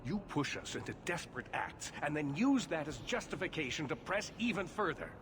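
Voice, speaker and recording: Impassioned mage leader, fairly high voice. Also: male voice, sing-song, a little fast, background noise. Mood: indignant. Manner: formal, urgent, declamatory. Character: indignant, impassioned male leader of mages. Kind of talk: dramatic fantasy video game acting.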